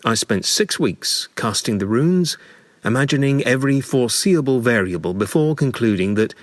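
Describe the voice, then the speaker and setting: A man narrating a scripted memoir in a measured, dramatic storytelling manner with deliberate pauses. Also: medium pitch, animated, no echo.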